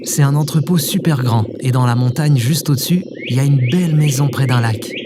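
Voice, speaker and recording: A man's voice: mid-range voice, fairly quick, very noisy, close to an everyday voice. A man playing an awkward, kind cat owner with a hesitant, informal delivery in an audio drama. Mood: friendly, persuasive. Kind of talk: scripted audio fiction acting, casual.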